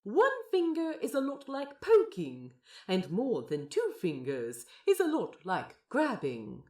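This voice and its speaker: A woman voicing a gentle, fussy male aquarium guide in a fan fiction audio drama, courteous, teacherly and softly enthusiastic. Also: medium pitch, wildly swooping pitch, measured pace. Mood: patient, encouraging.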